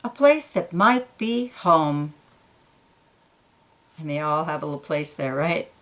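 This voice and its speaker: A woman reading a children's picture book aloud, pausing for quiet asides about the pictures. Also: low voice, animated. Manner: slow, gentle, pointing out pictures in quiet asides.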